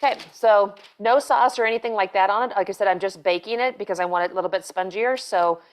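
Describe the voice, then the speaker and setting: A middle-aged woman runs a home cooking demonstration, chatting casually as she works. She rambles and restarts, filling gaps with "so" and "um". The voice sits at a middle pitch, recorded on a lapel mic in a home kitchen. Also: quick pace. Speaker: cooking show host, chef.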